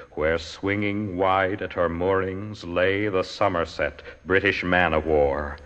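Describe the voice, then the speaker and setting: A man giving a theatrical, measured dramatic recitation of poetry. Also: low voice, fairly bright tone. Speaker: poetry reader. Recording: long cavernous reverb.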